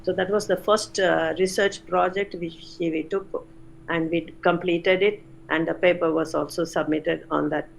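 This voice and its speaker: An older woman, a retired chemistry professor recalling her career in an interview, unhurried with frequent pauses. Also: lowish voice, fairly even intonation, creaky, a little hiss or hum, low-quality recording. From India. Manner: unhurried, many "uh" pauses, self-corrects. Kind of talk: reflective interview answers.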